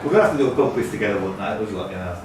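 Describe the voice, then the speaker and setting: A man's voice: lowish voice, animated, very fast, noisy background. A man making casual banter at a pub quiz. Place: indoor pub function room. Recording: distant, very echoey pub, audience chatter.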